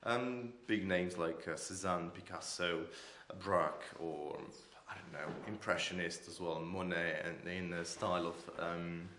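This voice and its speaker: Male artist interviewee, Croatian accent, fairly low voice, halting delivery, roomy sound. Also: steady tone, sharp tone, slight background noise. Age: 40s. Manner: hesitant, searching for words. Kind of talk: unscripted interview answers. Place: indoors in an art gallery room. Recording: echoey room.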